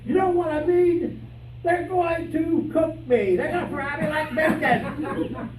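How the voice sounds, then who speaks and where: A woman's voice: high-pitched, takes it slowly, crowd noise. A woman voicing a melodramatic, pleading, wisecracking pet bird in jail, in an old-time radio style play. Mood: frantic pleading. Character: panicky wisecracking jailed pet bird, gangster slang. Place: live rehearsal before an audience. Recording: echoey room, distant mic, audience noise.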